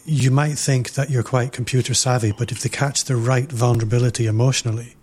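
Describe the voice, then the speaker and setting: A man hosting a podcast interview, talking in a reflective, measured way and building his points through repetition. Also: mid-range voice, fairly even intonation, darkish tone, breathy edge. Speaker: podcast host.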